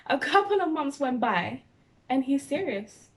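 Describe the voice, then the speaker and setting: A young woman from Mauritius chatting casually with her husband, teasing and animated as she tells their story. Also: high voice, measured pace. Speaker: vlogger. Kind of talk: casual chat.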